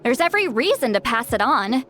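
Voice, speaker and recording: Game role: prickly great shaman woman, high-pitched, theatrical and defensive, music underneath. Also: very animated, fairly quick, a bit bright. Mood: matter-of-fact, proud. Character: proud, prickly female great shaman, easily flustered. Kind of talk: video game voice acting.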